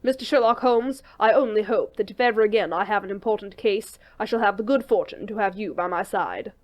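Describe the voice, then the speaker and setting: A woman's voice: medium pitch, fairly quick, lightly acted. A woman playing a dutiful, courteous police inspector in a dramatic audiobook reading, formal and earnest. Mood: grateful.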